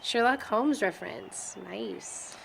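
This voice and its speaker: Young female student, played by an actress, talkative, informal, teasing, medium pitch, indie film dialogue, slightly noisy recording. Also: slow, creaky. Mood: playful, teasing. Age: young adult. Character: Sylvia, chatty young college student teasing an old friend. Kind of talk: naturalistic amateur film dialogue.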